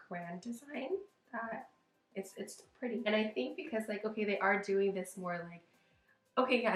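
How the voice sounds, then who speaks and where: A woman hosts a casual reaction video in a roomy, echoey space. Her mid-pitched voice is a little rough and hoarse. She talks informally, thinking out loud with plenty of filler "like". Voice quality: slightly rough, strained voice. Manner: chatty, informal, thinking aloud with lots of "like". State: hoarse from losing voice. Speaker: wedding planner and video host.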